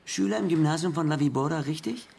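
An actor playing a police detective in a German radio crime drama, questioning methodically with a dry, needling confidence. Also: male voice, fairly high pitch, fast talker, creaky, a little mellow, a little hiss or hum. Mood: methodical, pressing. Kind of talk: German radio play acting, police interrogation.

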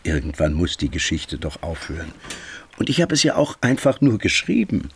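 Calm dad in a radio play, deep gravelly voice, some noise behind. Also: male voice, normal speed, full character voice. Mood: reassuring. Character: middle-aged father, calm, indulgent writer, a UN major. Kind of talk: German radio drama acting.

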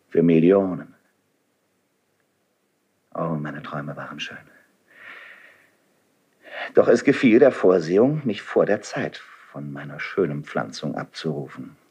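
Doomed idealistic nobleman, husky, low-pitched, declamatory, solemn, fervent, 1970s radio play verse. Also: a little mellow, exaggerated voice. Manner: declamatory, solemn, emphatic delivery. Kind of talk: 1970s German radio drama, classical stage verse.

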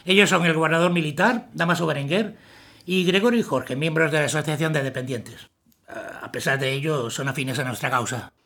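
A man playing a courteous, wary upper-class gentleman in an audio drama, speaking formally. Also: a little high, brisk. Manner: formal, polite.

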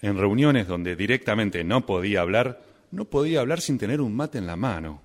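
A man's voice: fairly low pitch, expressive, normal speed. A man with an Argentine accent playing an anguished, melodramatic addict in a comic radio drama, narrating his own suffering. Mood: rueful narration. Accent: Argentine Spanish. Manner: stammering, exasperated, melodramatic.

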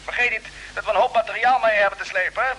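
An actor playing a confident male space captain in an old radio drama, brisk and reassuring under pressure. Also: high voice, fairly even intonation, lightly acted, low-quality recording. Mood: urgent, determined.

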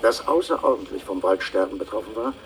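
Radio play: male actor as earnest asthmatic salesman, formal and precise, lowish voice on a slightly distant tape. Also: animated, natural voice. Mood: earnest, measured. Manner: measured, formal, precise wording. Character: earnest asthmatic travelling salesman and amateur botanist. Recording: distant taped voice, faint noise.

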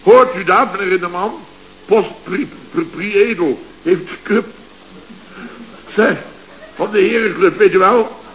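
Flustered male contractor boss, exclamatory, pompous, radio comedy style, high voice, noisy background hiss. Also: a little slow, pauses often, a bit bright. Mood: panicked. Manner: stammering, exclamatory, pompously polite on the phone. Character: blustering, flustered middle-aged male building contractor boss. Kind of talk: Dutch radio comedy acting. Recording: dull, noisy old radio recording.

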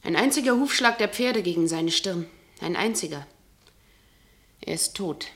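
A woman's voice: on the low side, expressive, normal speed, fairly bright tone. A woman acting in a radio play as a fearful local, grave and intense as she foretells doom. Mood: grim.

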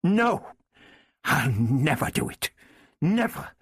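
Man voicing a high-pitched, furious young princess. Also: sing-song, slow, slightly rough, no echo, exaggerated voice. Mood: defiant. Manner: impassioned, scornful, crackling with emotion. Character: furious, defiant young princess, a king's sister. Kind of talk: dramatised storybook reading.

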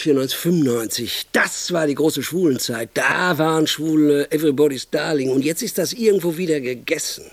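An actor playing a brash, pushy, excitable film idea man in a radio drama, rambling insistently and interrupting himself as he pitches. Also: dry recording. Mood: brainstorming, scheming. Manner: rambling, insistent, self-interrupting, swinging into emphatic outbursts.

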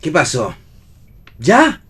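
A man's voice: fairly high pitch, wildly swooping pitch, extremely slow, a bit bright, husky, full character voice. A man with an Argentine accent playing a wry, affectionate young husband in a read-aloud stage play. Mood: drowsy, puzzled.

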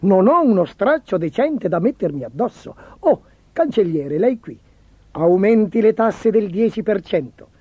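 A man's voice: high-pitched, sing-song, measured pace, dark tone, breathy edge, no echo, muffled recording, pitched above the performer's own voice, heavily acted. A man voicing a vain, imperious, spoiled storybook emperor in a petulant, commanding, theatrical manner. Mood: haughty. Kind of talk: storybook character voice.

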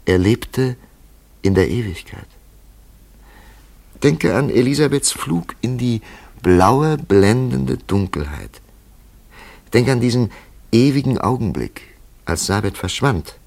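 An actor in a German radio play playing a grave, thoughtful man who speaks in a measured, philosophical way with a gravelly voice. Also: mid-range voice, expressive, darkish tone, full character voice. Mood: solemn, contemplative. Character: grave, thoughtful man pondering a supernatural mystery.

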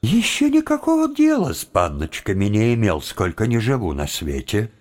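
An actor playing a wily, evasive young philosopher student in a theatrical audio drama, with a folksy, deferential, drawling delivery. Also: male voice, on the low side, huge pitch swings, normal speed, darkish tone. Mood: evasive, respectful.

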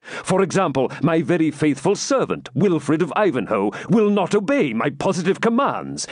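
Man performing a proud warrior king, hearty, commanding, raised swooping pitch, close dry sound, storybook drama. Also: big pitch swings, moderate pace, no echo. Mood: impatient. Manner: grand, commanding, archaic speech.

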